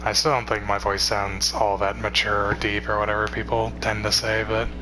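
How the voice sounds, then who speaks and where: Young male streamer, 21, tired, low even delivery, loose and rambling, noisy recording with a hum. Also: moderate pace. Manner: rambling, relaxed, swears casually. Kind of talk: casual chat with stream viewers.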